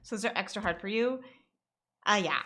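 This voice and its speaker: A woman's voice: mid-range voice, a little fast. A woman streaming a survival game, chatting casually and rambling with plenty of fillers. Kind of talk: casual chat while gaming. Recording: loud background noise with clicks.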